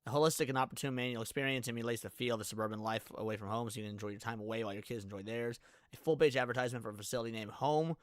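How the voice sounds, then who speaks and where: A man's voice: medium pitch, steady tone, rapid-fire, dry recording, clean studio sound. A man hosting a live stream, chatting casually and reading text aloud, stumbling and restarting now and then. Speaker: live stream host reacting to videos. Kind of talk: casual reaction commentary.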